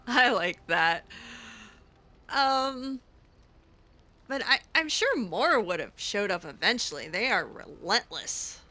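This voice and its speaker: A woman's voice: medium pitch, sing-song, slow pace, pauses often, sharp tone. A woman role-playing her character over a video game, improvising in a hesitant, informal, conversational way.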